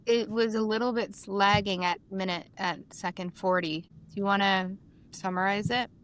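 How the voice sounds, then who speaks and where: A woman's voice: medium pitch, steady tone, normal speed, fairly bright tone, clean tone. A woman co-hosting a casual podcast, chatty and reading out lists of figures. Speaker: podcast co-host.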